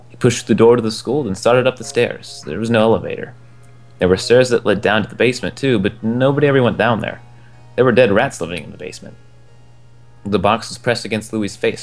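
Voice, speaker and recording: A young man with a lowish voice reads a children's storybook aloud, relaxed and chuckling at the text now and then. Soft music plays faintly behind him. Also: brisk. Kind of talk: reading a children's book aloud.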